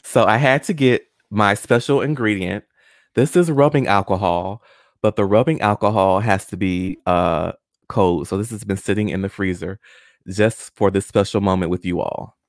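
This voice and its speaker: A man's voice: lowish voice, normal speed, dry recording, clean studio sound. Man explaining a science demo. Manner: friendly, explains step by step. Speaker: guest scientist demonstrating experiment. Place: small home room. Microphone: studio condenser mic with pop filter and headphones.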